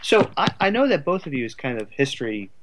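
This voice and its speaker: A man's voice: mid-range voice, very animated, fast, background noise. Thoughtful, articulate man in conversation. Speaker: podcast guest, writer.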